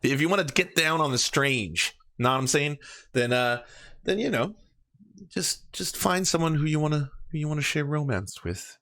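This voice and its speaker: A man's voice: fairly high pitch, expressive, moderate pace, bright, forceful tone. A Canadian man hosting a comedy show, reading and mocking a news story with a sarcastic, joking manner. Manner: mocking, puts on silly voices when quoting. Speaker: comedy podcast host. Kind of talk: comedic political commentary, reading aloud.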